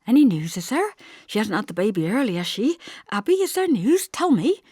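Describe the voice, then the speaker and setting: An ailing, middle-aged country mother from an audiobook story, played by a woman reader. She asks eagerly and pleadingly, her voice lifting higher and swinging with worry, in a close, dry recording. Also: big pitch swings, a little fast, a little mellow, slightly rough, no echo. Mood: anxious. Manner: pleading, eager rustic questioning.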